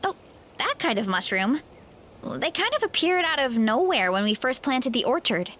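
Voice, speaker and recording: A woman voicing a cheerful young woman with a big appetite in a video game, talking in a bright, friendly way and laughing easily. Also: sits high, big pitch swings, normal speed, heavily acted. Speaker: game character showing guests an orchard. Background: soft game music.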